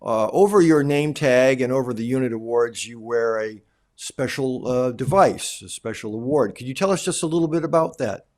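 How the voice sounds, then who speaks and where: A man's voice: medium pitch, normal speed, a little mellow. A man moderating a formal panel, politely thanking speakers and putting questions to them.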